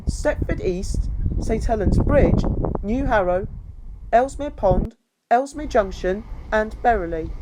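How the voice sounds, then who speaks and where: A woman's voice: fairly high pitch, warm tone, low-quality recording. A woman reading scripted train platform announcements in a formal manner, in stitched-together phrases. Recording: clear background noise, vehicle ambience.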